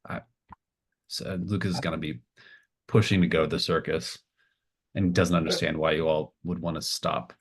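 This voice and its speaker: A man's voice: a bass voice, fairly even intonation, moderate pace, pauses often, slightly rough. A man running a tabletop game, improvising narration in a tentative, informal way. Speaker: game master.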